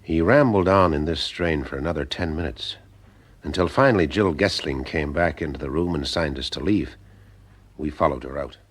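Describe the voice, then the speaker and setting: An actor playing a wry, observant private eye in a radio mystery, with a husky voice and an informal way of talking. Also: male voice, very deep voice, moderate pace. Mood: wry, narrating. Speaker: lead actor and narrator in a detective audio drama. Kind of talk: first-person detective narration and dialogue.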